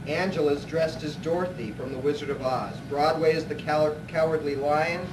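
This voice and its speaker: A man's voice: a little high, fairly even intonation, moderate pace, lightly acted. A man narrating a live radio play as a plain-spoken storyteller. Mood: storytelling. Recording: echoey noisy hall, distant mic.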